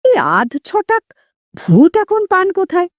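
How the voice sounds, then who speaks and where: Expressive, high-voiced woman reading a story. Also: a little slow, no room sound. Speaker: narrator of audio stories.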